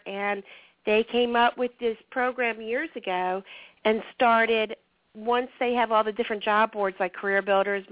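A woman's voice: medium pitch, no room sound, narrow, tinny audio. Cheerful woman guest on a thin line. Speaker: guest recruiter. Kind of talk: radio interview answers. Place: radio studio.

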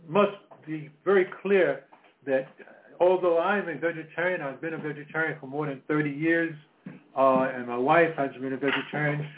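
Older man hosting talk radio by phone. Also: a little high. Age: 70s. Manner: halting, many fillers and repeated words. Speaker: radio show host. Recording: phone-line audio.

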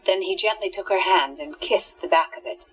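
A woman's voice: on the low side, normal speed, husky, highs cut off, faint music behind. A woman reading scripted storytelling narration for an audio drama.

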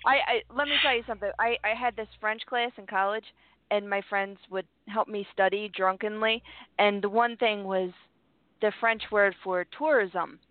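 A woman's voice: mid-range voice, steady tone, normal speed, a little hiss or hum. A woman co-hosting a radio talk show, playful and giggly, with a habit of doing silly voices. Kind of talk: casual radio banter. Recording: dry, phone-like narrow sound.

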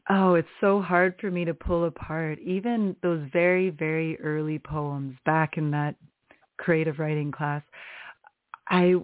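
A woman's voice: sits low, steady tone, unhurried, no echo. Woman poet on a tinny phone line. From Canada. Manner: thoughtful, searching, many fillers. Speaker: poet guest. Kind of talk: casual interview talk.

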